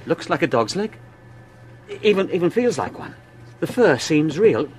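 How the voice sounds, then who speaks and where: An actor playing a feverish research scientist half-crazed with dread, halting and intense, in a radio drama. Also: male voice, a little high, very animated. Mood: hushed fascination.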